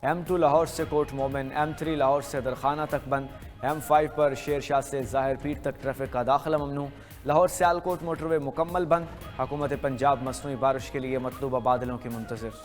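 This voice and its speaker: A man's voice: medium pitch, steady tone, normal speed. A man with a Pakistani accent reading scripted news headlines as an anchor. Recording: long reverb with music underneath. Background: music bed.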